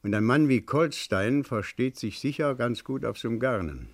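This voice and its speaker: An actor playing a measured examining magistrate in a German radio crime drama, formal and composed. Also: male voice, medium pitch, animated, no echo, clean studio sound.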